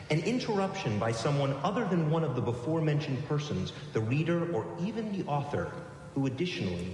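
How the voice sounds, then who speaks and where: Male stage narrator, measured and literary, expressive intonation, big reverberant hall with faint noise. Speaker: narrator in a live radio performance. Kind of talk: literary scripted narration before an audience. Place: live on stage in a large hall. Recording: very echoey hall, faint hiss and rumble.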